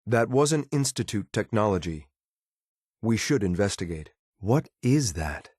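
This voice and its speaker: An actor playing a loyal, disapproving android soldier in a video game, speaking in a formal, clipped, deadpan and blunt way. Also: male voice, lowish voice, unhurried, slightly rough, no room sound, studio quality, heavily acted. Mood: measured, matter-of-fact. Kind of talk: video game companion voice lines.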